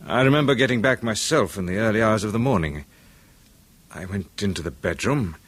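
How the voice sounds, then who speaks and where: An actor playing a tormented man in a 1970s radio drama, talking haltingly with bitter nervous laughs. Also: fairly low pitch, animated, fairly bright tone. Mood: haunted confession. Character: tormented middle-aged male musician, haunted and bitter.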